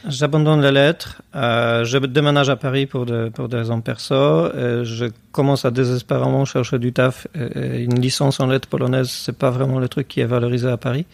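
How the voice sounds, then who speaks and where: A man's voice: mid-range voice, little pitch movement, normal speed, vocal fry, hardly any pauses, dry recording. A man, a podcast guest talking casually and hesitantly with many fillers. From Poland. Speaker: guest, tech company cofounder.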